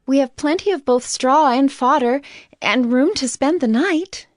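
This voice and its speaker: A young maiden in a Bible audio drama, gracious and obliging. The voice is fairly high and gentle, recorded dry and close. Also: female voice, a little slow, dry recording. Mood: polite, welcoming.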